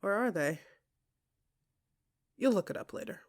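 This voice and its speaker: A woman with a husky, breathy voice narrates an intimate audio drama addressed straight to the listener. Her delivery is expressive and often hushed, moving between soft musing and more emphatic phrasing. She sets short phrases apart with deliberate pauses. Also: mid-range voice. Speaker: narrator and performer of an audio fiction podcast. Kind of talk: intimate second-person dramatic storytelling.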